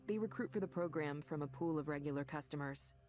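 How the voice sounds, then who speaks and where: An actress voicing a composed, matter-of-fact chief engineer in a visual novel game, explaining things in a measured way. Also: female voice, sits low, natural voice. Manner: measured, explanatory, defensive of her company.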